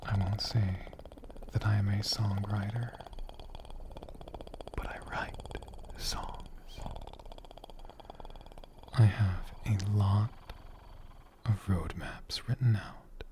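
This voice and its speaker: A man speaking softly and gently in an ASMR style, breathy and often whispering, rambling unhurriedly as he answers questions off the cuff. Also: lowish voice, fairly even intonation, takes it slowly, pauses often, noisy background. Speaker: ASMR creator and channel host. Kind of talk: soft-spoken ASMR, unscripted question-and-answer.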